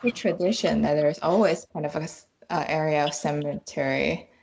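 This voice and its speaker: A woman chatting casually as a podcast guest, telling anecdotes in halting, hesitant phrases, on a slightly noisy recording. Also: low voice, vocal fry, a little mellow.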